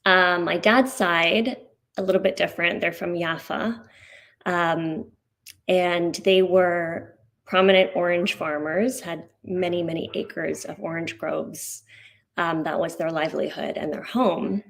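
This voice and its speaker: A woman from Canada, invited to speak at a community gathering. Her voice sits a little low and has a gravelly fry in it. She talks in an earnest, personal way and pauses now and then. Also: steady tone, a bit bright. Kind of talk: personal talk at a community event.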